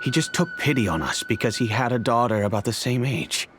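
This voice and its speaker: An actor playing a grave older patriarch of a wealthy family, speaking in a measured, solemn storytelling manner. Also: male voice, medium pitch, expressive, a bit bright, faint music behind, natural voice. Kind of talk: video game voice acting.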